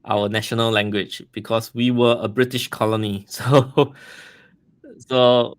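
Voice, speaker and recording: A man's voice: medium pitch, flat delivery, unhurried. A man from Singapore with a Singaporean accent, a podcast guest talking in a friendly way, repeating words as he thinks. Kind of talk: casual podcast interview.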